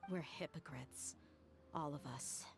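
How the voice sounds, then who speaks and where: A tough female mercenary from a video game, weary and reflective. Her voice is on the low side, measured and earnest, with some music underneath. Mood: somber, reflective. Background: background music.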